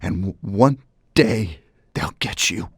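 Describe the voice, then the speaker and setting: American mob guy in a game, taunting n menacing, slow, really up and down, dry sound. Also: deep voice, lively intonation, slightly rough, no room sound, full character voice. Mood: wounded, bitter. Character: mobster turned on his old friend, bitter, menacing. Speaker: voice actor in a game cutscene. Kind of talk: dramatic crime game acting.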